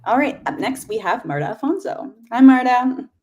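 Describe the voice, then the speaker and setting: A woman's voice: on the high side, expressive, moderate pace, mellow, a little hiss or hum. A woman hosting an online panel discussion in an informal, effusive and chatty manner. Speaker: event host and panel moderator.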